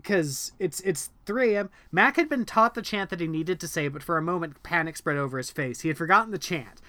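A man co-hosting a casual podcast about a book, animated and hesitant, full of ums and restarts, reading passages aloud quickly. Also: on the high side, no room sound. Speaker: podcast co-host discussing a book. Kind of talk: casual conversational chat.

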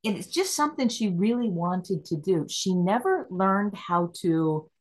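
A middle-aged woman guest on a podcast takes her time, speaking at an unhurried pace. Her voice sits in a middle register. She thinks out loud and breaks into easy laughter. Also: mid-range voice, lively intonation. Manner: reflective, acts out inner questions, laughs easily. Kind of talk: conversational interview.

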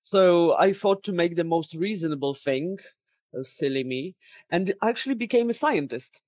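A woman's voice: on the low side, expressive, normal speed, a little mellow, no room sound, studio quality. A Polish woman in her thirties, a game designer talking casually in a podcast interview, self-deprecating and laughing often. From Poland.

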